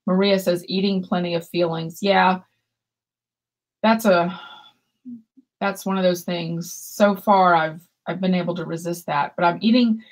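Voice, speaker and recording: A woman in her fifties co-hosting a yarn shop livestream over a video call, chatting and reading viewer comments aloud. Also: lowish voice. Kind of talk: casual livestream chat. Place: room with shelves of yarn behind. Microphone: webcam video call.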